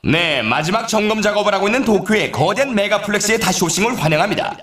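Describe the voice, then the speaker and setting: Video game snowboard event announcer: excitable showman, theatrical, very animated male delivery with roomy echo. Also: high-pitched, fast talker. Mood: excited, celebratory. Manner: theatrical, showy, exclaiming. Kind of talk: hyped sports game announcing, scripted.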